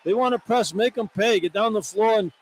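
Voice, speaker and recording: A man's voice: high voice, brisk. A man giving live color commentary on a basketball radio broadcast, informal, blunt and opinionated. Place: broadcast position at an indoor arena. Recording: faint background noise, arena ambience. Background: faint arena noise.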